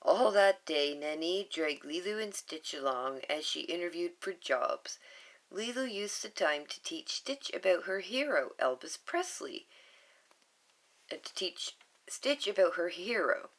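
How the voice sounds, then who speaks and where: A woman reads a children's storybook aloud at an unhurried pace. Her speaking voice sits on the low side, but her pitch moves a lot. She adds small asides and raises her voice for quoted lines. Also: lively intonation. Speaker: storybook reader.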